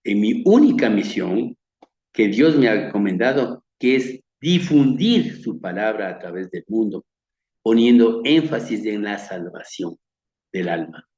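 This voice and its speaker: A man from Ecuador with a Quito accent gives a measured, earnest Bible lecture over pictures, often repeating words and letting his phrases fade softly. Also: on the low side, animated, roomy sound, muffled recording. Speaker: Bible teacher. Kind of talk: slow sermon-like slideshow lecture.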